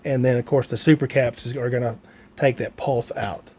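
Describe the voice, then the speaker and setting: A man's voice: mid-range voice, fairly even intonation, moderate pace, a little mellow. A man giving a casual hands-on how-to, thinking aloud informally as he works. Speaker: DIY radio hobbyist presenter. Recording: clear background noise, ambient sound.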